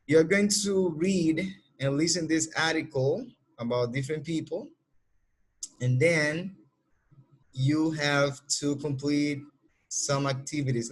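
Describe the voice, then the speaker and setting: A man teaching an English class, giving slow, repeated instructions to his students. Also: fairly high pitch. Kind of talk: classroom lesson over textbook audio.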